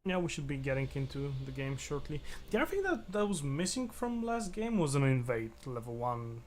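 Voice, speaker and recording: Halting male esports co-commentator, mid-pitched. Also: very animated. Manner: halting, repeats words as he starts sentences. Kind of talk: casual game analysis.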